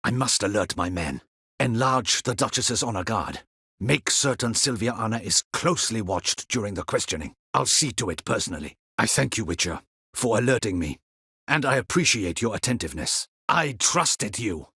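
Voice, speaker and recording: A proud stern male guard captain for a fantasy game, clipped n haughty, raspy edge, very dry close recording. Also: lowish voice, expressive, normal speed, pushed and bright, no room sound, full character voice. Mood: brisk, commanding, then measured, guarded, then contemptuous, threatening. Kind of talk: fantasy video game voice acting.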